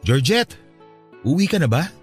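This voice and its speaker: A man with a Filipino accent playing a troubled, gentle young man in a radio drama, casual and a little hesitant. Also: on the high side, big pitch swings, normal speed, husky, soft background music, full character voice. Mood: tentative, caring. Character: troubled, gentle young man, bitter about his father. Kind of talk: radio drama dialogue acting.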